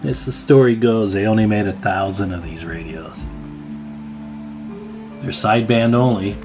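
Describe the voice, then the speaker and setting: A man with a fairly low voice talks viewers through hands-on bench work. He is casual and folksy, addressing the audience informally like fellow hobbyists. Guitar music plays clearly behind him. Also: moderate pace. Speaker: host of a radio repair video channel. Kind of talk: casual hands-on workbench explainer.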